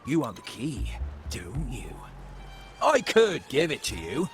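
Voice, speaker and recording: A man's voice: medium pitch, wildly swooping pitch, slow pace, loud music behind the voice. A man playing a disdainful, weaselly pirate captain villain in a video game, theatrical and condescending. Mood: scornful, bargaining. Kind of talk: video game character acting.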